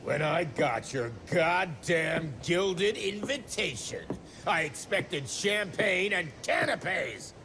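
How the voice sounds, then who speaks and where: An actor playing a foul-mouthed, furious, bullying government official in a video game, sneering through profane tirades. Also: male voice, on the high side, expressive, slow, noisy background. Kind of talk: video game cutscene acting.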